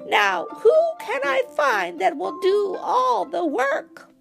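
A woman's voice: very high voice, animated, slow pace, gravelly, music in the background, pitched above the performer's own voice, heavily acted. A woman voicing a bossy, easily exasperated wicked old witch from a storybook, screechy and imperious. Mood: scheming, frazzled. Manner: imperious, snapping, dramatic. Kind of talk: storybook character voice.

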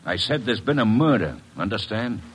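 A man's voice: lowish voice, animated, normal speed, breathy edge. A man playing a bossy, hard-nosed police inspector in a classic radio drama, blunt and commanding. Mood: gruff, suspicious. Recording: noisy vintage radio recording.

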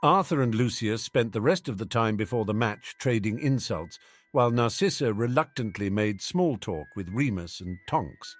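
A man's voice: fairly low pitch, lively intonation, moderate pace, no room sound, soft background music. A man reading scripted fiction aloud as an audiobook narrator.

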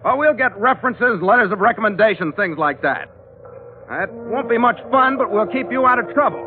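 A man's voice: high voice, expressive, fairly quick, a little hiss or hum. An actor playing a tough, wry sea captain who narrates his own adventures in hard-boiled 1940s radio style. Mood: wry, companionable. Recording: narrow old radio broadcast recording.

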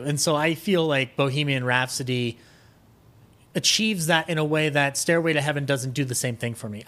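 Guy w a kinda high voice on a podcast, really into his opinions, keeps restarting sentences, emphatic. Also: a bit bright. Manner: emphatic, enthusiastic, halting restarts mid-thought. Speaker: podcast guest. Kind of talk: casual opinionated chat about music and film.

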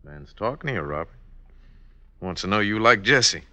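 Deep-voiced, menacing Old West outlaw. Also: male voice, expressive, sharp tone. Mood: dry, menacing. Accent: American. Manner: laconic, unhurried, commanding. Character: cool, menacing male outlaw gang leader. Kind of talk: old-time radio western acting. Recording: dull vintage radio recording.

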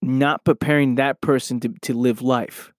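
Male podcast host, a bit hoarse, earnest motivational talk, close dry mic, mid-range voice. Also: mid-range voice, fairly even intonation, normal speed, no room sound. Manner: earnest, repeats "right?" often. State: slightly hoarse from losing his voice.

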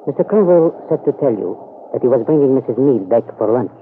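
A man playing a discreet manservant in an old-time radio soap, formal, measured and tactfully evasive. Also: medium pitch, lively intonation, lightly acted. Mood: deferential. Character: discreet, deferential gentleman's manservant, evasive and gallant. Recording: tinny, noisy old radio broadcast.

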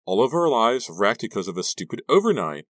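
A man's voice: on the low side, big pitch swings, brisk, fairly bright tone, dry recording, clean studio sound, voice pushed up. A man voicing a distraught, anxious teenage girl in an audiobook, upset and insistent. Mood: tearful, wailing. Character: distraught, tearful teenage girl, anxious about her reputation and parents.

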